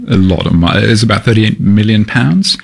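A man from Malaysia, a seed bank scientist, answering interview questions in a thoughtful, halting way with many pauses and restarts. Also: lowish voice, creaky.

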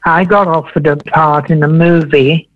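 A man in his eighties from Wales, a veteran entertainer telling his story in a radio interview, slow and meandering with many ums. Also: a little high, fairly even intonation, narrow, tinny audio, slight background noise. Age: 80s.

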